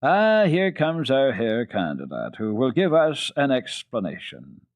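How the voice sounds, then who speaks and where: A man playing a genial, wry gentleman father with a brisk, courteous manner in a children's storybook reading. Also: mid-range voice, big pitch swings, no echo, clean studio sound, voice pushed up. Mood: hearty.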